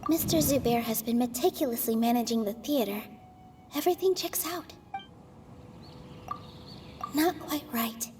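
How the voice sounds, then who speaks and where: An actress voicing a gentle, earnest young woman in a video game, speaking softly and reassuringly. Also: a little high, a little slow, pauses often, noisy background, lightly acted. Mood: thoughtful. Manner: soft, thoughtful, reassuring. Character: gentle young female dancer, earnest and encouraging.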